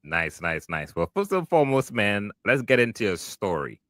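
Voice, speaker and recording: A man hosts a podcast with a low, bright and forceful voice. He sounds eager and talks in casual bursts. The sound is dry and close, with little room echo. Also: very animated, moderate pace. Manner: enthusiastic, repeats words like "wow" and "okay". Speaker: podcast host. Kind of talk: casual interview chat.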